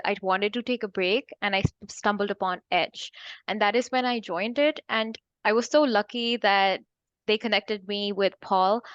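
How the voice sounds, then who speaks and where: A woman's voice: mid-range voice, fairly bright tone, clear, resonant tone, no echo, highs cut off. Hesitant young woman from Pakistan. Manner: plain, hesitant, with fillers. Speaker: remote dentist employee. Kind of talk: casual interview answers. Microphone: video call.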